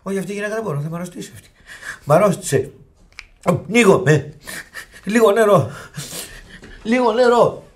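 Frantic, high-pitched exasperated husband. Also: male voice, long pauses. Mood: exasperated despair. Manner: excitable, repetitive exclamations. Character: exasperated, panicky husband and father, easily outraged. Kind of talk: radio theatre farce acting.

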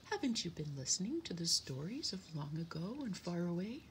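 A woman voicing a kindly female turtle dove, gentle and cooing, in a children's storybook. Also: fairly low pitch, big pitch swings, moderate pace, slight background noise. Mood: calm, teaching.

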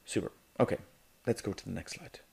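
A man teaching a negotiation course in a chatty, loose way, checking in with "okay?" and filling pauses with "um". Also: on the low side, vocal fry, a little mellow, slightly rough. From Ireland. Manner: chatty, frequent "okay?" and "um" fillers, laughs lightly mid-sentence. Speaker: negotiation coach. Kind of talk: casual spoken course narration. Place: voice-over for an animated whiteboard video.

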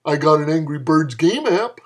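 A man's voice: on the high side, lively intonation, moderate pace, breathy edge. A man voicing a clueless, easily awed young slacker in a dramatic story reading, with dopey, drawling slang. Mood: blank, impressed. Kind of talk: dramatic reading of flash fiction.

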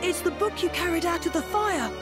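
A woman playing an earnest, gentle young boy researcher in a video game, polite and eager. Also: very high voice, a little fast, music in the background. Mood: encouraging.

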